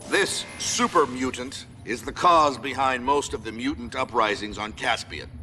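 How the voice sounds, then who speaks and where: An actor playing a stern, authoritative military commander who gives clipped, formal mission briefings in a video game. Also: male voice, medium pitch, moderate pace, bright, forceful tone, in a large hall, soft background music, natural voice.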